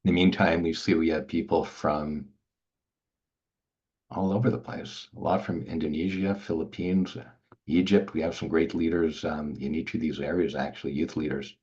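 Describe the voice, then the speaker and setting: A man's voice: a bass voice, steady tone, moderate pace, darkish tone. An older man from Montreal co-hosting a webinar over a video call, chatting loosely and greeting people by name. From Montreal, Canada. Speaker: organization founder and webinar co-host. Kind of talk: casual webinar chat. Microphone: video call laptop mic. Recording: dull, lo-fi video call audio.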